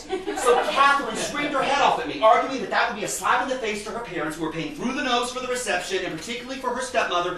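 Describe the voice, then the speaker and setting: A distant, very echoey stage recording with an audience. An actor plays a flustered, exasperated man venting in a high-pitched, agitated torrent. It is a theatrical monologue of pent-up family grievance. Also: pushed and bright. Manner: agitated, breathless torrent of grievances, mimicking others. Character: flustered, exasperated bridegroom venting about wedding family squabbles. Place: live stage before an audience.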